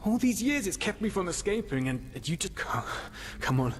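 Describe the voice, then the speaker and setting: An actor playing a despairing, half-mad prisoner in a video game, weary and fatalistic, brooding in halting, philosophical lines. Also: male voice, high-pitched, very animated. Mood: stunned, urgent. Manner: brooding, halting, philosophical, with sighs and whispery trailing words. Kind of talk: dramatic video game dialogue acting. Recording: long hall reverb, noisy ambience.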